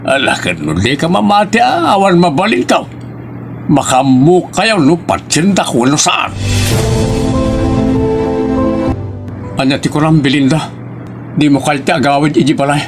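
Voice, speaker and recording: A man's voice: a little high, big pitch swings, normal speed, slight background noise. A man playing an exasperated, argumentative husband in a family audio drama. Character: exasperated husband arguing with his wife and father. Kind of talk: Ilocano family radio-style drama acting.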